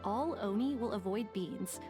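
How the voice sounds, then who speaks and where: A woman's voice: medium pitch, music in the background, close to an everyday voice. Woman acting composed, formal female officer. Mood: matter-of-fact. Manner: measured, formal, explanatory. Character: composed adult female officer, matter-of-fact and dutiful. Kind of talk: anime video game voice acting.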